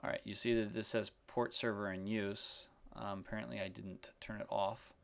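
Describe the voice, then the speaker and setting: Low, gravelly-voiced man explaining software. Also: level tone. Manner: halting, explanatory, step-by-step. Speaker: software demonstrator. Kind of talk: unscripted screencast tutorial. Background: keyboard typing and mouse clicks.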